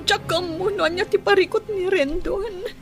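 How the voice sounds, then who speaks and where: A woman's voice: extremely high pitch, steady tone, normal speed, music in the background. A woman acting a hurt, pleading young pregnant wife in an Ilocano radio drama, emotional and questioning. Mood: tearful, worried.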